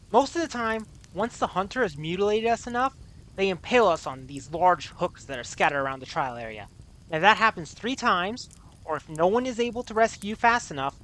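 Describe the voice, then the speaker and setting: A man's voice: fairly high pitch, fairly bright tone. A man playing a stranded American auto mechanic in an audio drama, giving a wry, weary, rambling monologue as a radio broadcast. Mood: sardonic, exasperated. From United States. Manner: wry, sardonic, rambling, profane.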